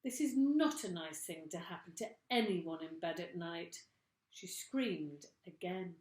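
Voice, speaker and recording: A female teacher reading a children's storybook aloud, slowly and expressively, in an echoey room. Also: lowish voice, darkish tone.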